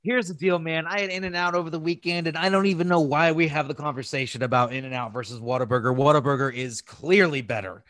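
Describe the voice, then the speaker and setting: A man's voice: a little high, fast, few pauses, fairly bright tone, dry recording. American man in a dry, close podcast chat. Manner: informal, profane, conversational. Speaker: podcast co-host. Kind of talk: casual chat.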